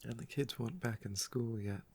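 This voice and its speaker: A soft-spoken man with a croaky voice, telling stories about his day in an unhurried, wistful ASMR ramble. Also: medium pitch. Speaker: ASMR video creator.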